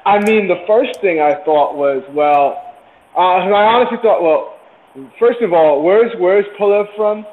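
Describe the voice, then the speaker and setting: A man in a casual podcast chat who thinks out loud at a measured pace. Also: high voice, big-room sound, phone-quality sound. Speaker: podcast co-host.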